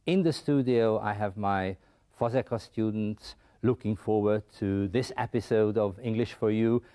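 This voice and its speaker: A man's voice: fairly low pitch, normal speed, dark tone. A man hosting an English lesson show from a school studio, quizzing his students in an upbeat, coaxing way. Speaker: English teacher and show host.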